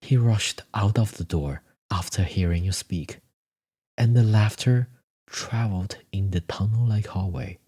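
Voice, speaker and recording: A man narrating a scripted second-person story in measured, deliberate phrases. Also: fairly low pitch, warm tone, husky.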